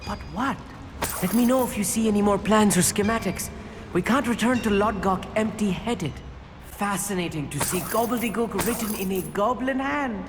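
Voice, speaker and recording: A man's voice: high-pitched, normal speed, noisy background, lightly acted. An actor voicing a bookish, timid teenage boy in a video game, wordy and fretful. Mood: curious, absorbed. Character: bookish, timid teenage schoolboy scholar, easily alarmed. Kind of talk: dramatic video game dialogue. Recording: long hall reverb under loud music. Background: loud game music.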